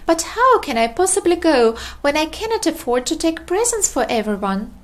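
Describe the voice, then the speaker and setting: A polite, downhearted little storybook man, voiced by a woman. He speaks earnestly in a high, lilting voice, suited to a tale for toddlers. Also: sing-song, moderate pace. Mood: wistful. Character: polite, downhearted poor male storybook character. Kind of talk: children's storybook character voice.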